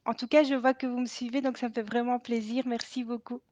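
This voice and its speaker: Chatty woman, high voice, dull dry sound. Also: flat delivery, brisk, a little mellow, dry recording. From Belgium. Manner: hesitant, many fillers and restarts, frequent laughter. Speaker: laughter yoga host. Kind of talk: casual live stream talk. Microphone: added microphone. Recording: dull lo-fi live stream audio.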